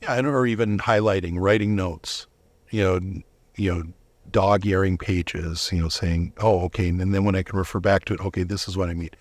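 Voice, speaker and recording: A man's voice: deep voice, fairly even intonation, brisk, dry recording. A man giving earnest, explanatory answers as a guest in a conversational interview. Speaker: interview guest, volunteer Bible reader.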